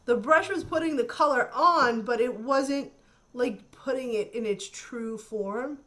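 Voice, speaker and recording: A woman's voice: on the high side, measured pace, pushed and bright. A woman chatting casually through a makeup tutorial, thinking aloud and admiring the colors, her voice a little nasal from a cold. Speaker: beauty vlogger.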